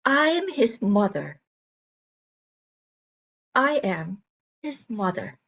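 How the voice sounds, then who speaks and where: A woman performing a dramatic monologue as an earnest, wounded mother fighting for her son, speaking deliberately and haltingly. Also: mid-range voice, sing-song, a bit bright, breathy edge, exaggerated voice. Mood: pleading, firm. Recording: dull lo-fi sound.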